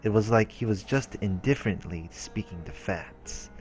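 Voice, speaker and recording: A man's voice: fairly low pitch, normal speed. A man reading visual novel text aloud as a let's play host, in a narrating style. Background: soft game music.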